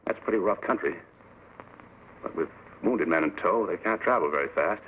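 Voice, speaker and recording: A steadfast frontier Ranger captain gives orders in a fairly low voice, quick and authoritative. The sound is that of a tinny old western radio play with some hiss. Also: male voice, lively intonation. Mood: resolute, commanding. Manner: brisk, authoritative.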